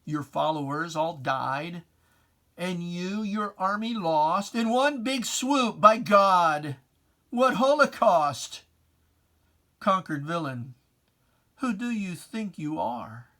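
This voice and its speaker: A man's voice: high voice, expressive, takes it slowly, fairly bright tone. A man giving a dramatic reading of religious verse in an emphatic, declamatory, theatrical manner. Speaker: poetry reader.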